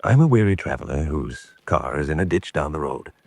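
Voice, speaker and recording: A man's voice: a bass voice, sing-song, brisk, creaky, darkish tone, husky, exaggerated voice. A man playing a determined, self-assured amateur spy hunter in a radio drama, with a dry manner. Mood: confident.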